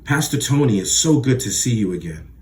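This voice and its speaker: A man's voice: medium pitch, lively intonation, slight background noise, pitched above the performer's own voice, natural voice. A man doing a gushing, slick-talking preacher. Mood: eager. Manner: gushing, fast and pushy. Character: eager breathless middle-aged male preacher, slick talker.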